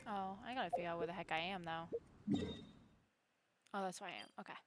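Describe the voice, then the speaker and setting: A woman's voice: fairly low pitch, steady tone, fast talker, very noisy. A woman game streamer giving casual live commentary, often talking to herself, with game music behind her.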